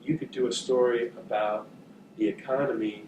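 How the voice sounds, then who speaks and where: A man's voice: medium pitch, fairly even intonation. Man speaking in an echoey room. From northern New Jersey, USA. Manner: thoughtful, halting, with frequent fillers and repeated words. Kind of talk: talk to journalism students. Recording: echoey, distant, with background noise.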